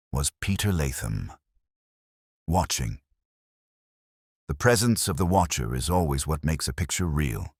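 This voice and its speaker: A man narrating a scripted first-person detective story in a measured, literary, reflective manner. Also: a bass voice, no room sound, studio quality.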